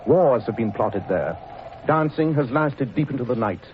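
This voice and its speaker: An actor playing a brisk, commanding Victorian detective in a 1940s radio drama, crisp and dryly cutting. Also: male voice, medium pitch, expressive, close to an everyday voice. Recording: tinny old radio broadcast, faint hiss.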